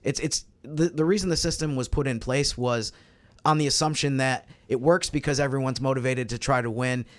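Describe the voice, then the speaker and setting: A man in a casual sports discussion, reasoning through his argument in a measured way. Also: medium pitch, fairly even intonation. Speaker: sports podcast panelist.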